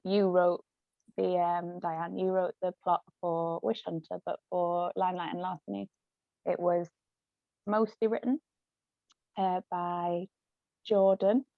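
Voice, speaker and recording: A woman's voice: fairly low pitch, no room sound. A woman author and ghostwriter chatting casually as an interview guest on a video call. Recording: thin phone-like call audio, dry.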